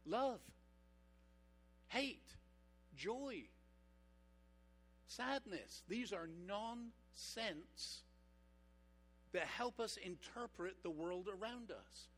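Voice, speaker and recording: Man, pastor, high voice, sermon, rhetorical questions, slow emphatic delivery. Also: expressive, fairly bright tone. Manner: engaging, asks the congregation rhetorical questions; slows down for emphatic points.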